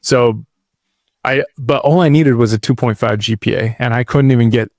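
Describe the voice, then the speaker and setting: Laid-back gravelly-voiced man telling his story. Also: mid-range voice, normal speed, a little mellow, no echo, clean studio sound. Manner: laid-back, rambling, says dude and like a lot. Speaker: podcast guest. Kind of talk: casual storytelling interview.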